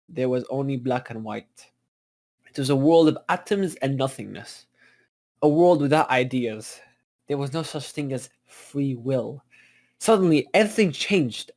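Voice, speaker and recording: A man reading as the solemn storybook narrator of a fantasy epic, earnest, declamatory and a little halting. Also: mid-range voice, darkish tone, natural voice.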